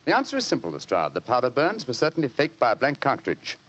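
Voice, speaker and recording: An actor playing a confident, analytical English gentleman detective, precise and assured, in 1940s radio mystery style. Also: medium pitch, huge pitch swings, quick pace, a little hiss or hum. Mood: triumphant. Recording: muffled old 1940s radio broadcast.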